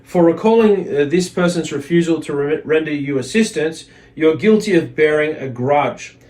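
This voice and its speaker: A man's voice: a little high, normal speed, a little mellow. A man giving an informal religious lesson, reading aloud and explaining in a hesitant, reflective manner. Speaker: religious teacher.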